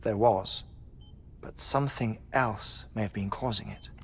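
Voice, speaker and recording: An actor playing a grave, thoughtful researcher in a video game, explaining in a measured way. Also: male voice, lowish voice, a little hiss or hum. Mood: grave, confiding. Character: grave, thoughtful male researcher confiding his theories. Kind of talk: video game cutscene acting.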